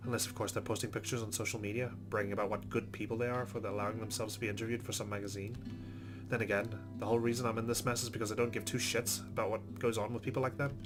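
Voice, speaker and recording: Male let's-play host with a low voice, narrating a visual novel over prominent music. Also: little pitch movement, quick pace. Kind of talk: narrated game playthrough with casual commentary.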